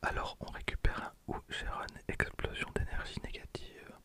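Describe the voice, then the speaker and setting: Young man in a full ASMR whisper. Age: 20s. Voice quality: breathy, voiceless whisper. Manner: hushed, often repeating phrases slowly. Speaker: ASMR video creator and host. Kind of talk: ASMR whispering, card unboxing commentary. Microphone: handheld stereo recorder used close up.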